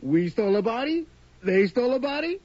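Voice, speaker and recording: A man's voice: very high-pitched, big pitch swings, fairly quick, a little mellow, full character voice. A young actor playing a cocky, teasing male college roommate in a radio drama. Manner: joking, teasing, brash. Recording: dull old radio broadcast.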